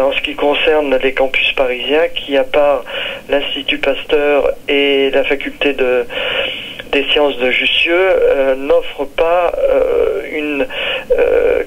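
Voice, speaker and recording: A man from Nice in southern France, a visiting research scientist, describing his trip haltingly with many pauses and fillers. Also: medium pitch, dull audio. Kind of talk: recorded interview testimony.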